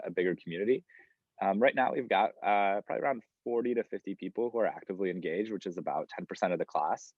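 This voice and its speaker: A young man from Chicago, co-president of a student club, speaking in a measured, conversational way on an online panel. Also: sits low, a little mellow, no echo, highs cut off.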